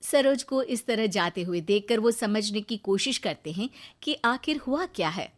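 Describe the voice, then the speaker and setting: A woman narrating a moral story in a calm, plain storytelling manner. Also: medium pitch, measured pace.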